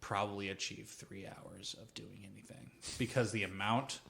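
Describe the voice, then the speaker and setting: A man co-hosting a casual tabletop gaming chat, rambling through anecdotes with frequent swearing and an animated storytelling manner. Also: fairly low pitch, normal speed, vocal fry. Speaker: podcast co-host. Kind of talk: casual chat about tabletop role-playing.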